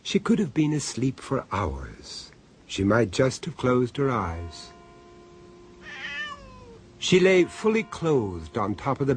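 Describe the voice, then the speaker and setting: A man narrating a horror radio drama in a measured, sly storytelling manner. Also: mid-range voice. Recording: faint hiss of old radio tape.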